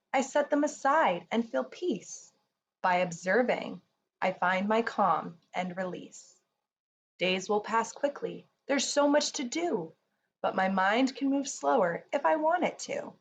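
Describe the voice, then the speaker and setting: A woman reads a rhyming children's picture book at a slow, measured pace. Her storybook delivery is soothing. The recording is a bit muffled, with faint noise underneath. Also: mid-range voice.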